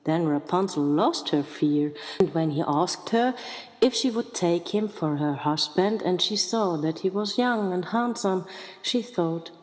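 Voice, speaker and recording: A woman reading a scripted fairy tale as a storyteller, with measured, deliberate phrasing. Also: lowish voice, animated. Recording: reverberant hall with music behind. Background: music.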